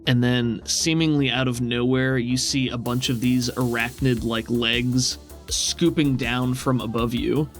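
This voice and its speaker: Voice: medium pitch, fairly even intonation, measured pace, faint music behind. A game master narrating a tabletop role-playing game in a casual way and bantering with the players.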